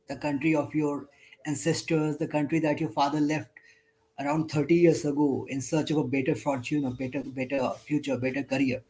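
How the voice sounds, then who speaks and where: A man's voice: a little high, a little mellow, low-quality recording. A man moderating a festival panel, who probes and keeps rephrasing his questions.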